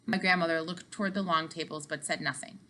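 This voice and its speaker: A woman narrating a children's book aloud with animated, dramatic emphasis. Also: low-pitched, quick pace. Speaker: read-aloud storyteller.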